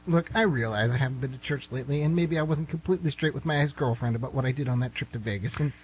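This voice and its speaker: A man's voice: medium pitch, quick pace, husky, natural voice. A man playing a nervous, reluctant, wry writer in a comic radio play, with a hesitant, protesting manner. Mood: anxious, reluctant. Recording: amateur recording, noisy with hum.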